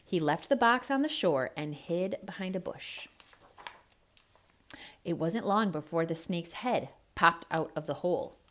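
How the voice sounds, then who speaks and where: Woman doing theatrical kids read-aloud, lowish voice, really expressive and emphatic. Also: a little slow, a little hiss or hum. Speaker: children's storyteller.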